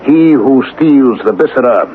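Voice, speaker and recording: A noisy, phone-thin radio recording carries a male actor as a shrewd schemer. He speaks at a middling pitch, instructing and insisting with quiet command in a radio drama style. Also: lively intonation, unhurried, lightly acted. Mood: urgent, commanding. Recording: noisy, narrow-band old radio recording.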